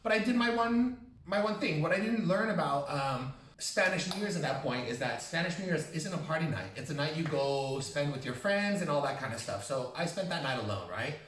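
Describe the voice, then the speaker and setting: A quick-talking man with a lively, swooping delivery, campy and self-mocking as he spins a rambling story. His voice carries through a roomy space, heard from some distance off the mic. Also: fairly high pitch. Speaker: podcast co-host. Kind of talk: casual chat.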